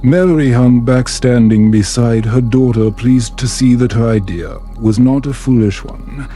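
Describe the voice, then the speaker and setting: A man calmly reading a scripted fantasy bedtime story in a soothing, unhurried manner. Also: mid-range voice, faint music behind. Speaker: storyteller, narrator.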